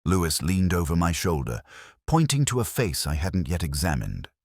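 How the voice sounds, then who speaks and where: An older man reading a literary first-person detective story in a measured, reflective and solemn manner. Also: sits low, huge pitch swings, no echo, studio quality. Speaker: narrator.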